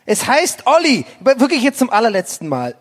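A man plays a touchy boy detective in a mystery parody radio play. The high voice swings widely as it grumbles and whines in pedantic exasperation. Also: huge pitch swings, moderate pace, exaggerated voice. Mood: exasperated. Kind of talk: live comedy radio play acting.

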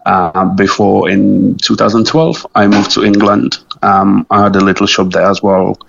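A man's voice: on the low side, fairly even intonation, moderate pace, creaky, fairly bright tone, highs cut off, slight background noise. A man with a Czech accent, a native Czech speaker, answering casually as an interview guest in a hesitant, modest way. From Czech Republic. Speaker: interview guest, small clothing business founder.

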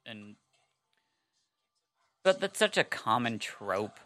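A woman co-hosting a fan podcast, chatting casually and giggling, her voice a little husky. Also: a bass voice, very animated, slow pace, a bit bright. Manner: giggly, chatty, lots of "like" and "I mean". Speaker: podcast co-host.

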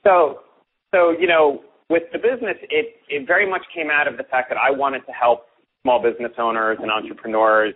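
A man's voice: medium pitch, husky. A man guest who coaches on copywriting, explaining earnestly and often repeating words as he starts sentences. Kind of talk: casual interview chat. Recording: tinny phone-like line.

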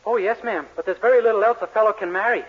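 An actor playing a meek, naive young fiancé in a 1940s radio sitcom, timid, polite and literal-minded. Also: male voice, high voice, fast, slightly rough. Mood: nervous. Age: young adult. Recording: very noisy, muffled 1940s radio recording.

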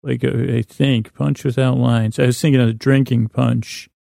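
A man hosting a bedtime sleep podcast in a creaky, gravelly voice, meandering and lulling, often halting and repeating himself. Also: medium pitch, fairly even intonation, mellow, dry recording, clean studio sound. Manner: lulling, soothing. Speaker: podcast host.

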